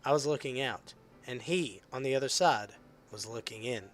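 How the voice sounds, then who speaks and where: A hoarse man reading the lines of a poised teenage girl who leads a literature club in a visual novel. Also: mid-range voice, moderate pace, pitched below the performer's own voice, lightly acted. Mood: recitative. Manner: reads visual novel dialogue aloud.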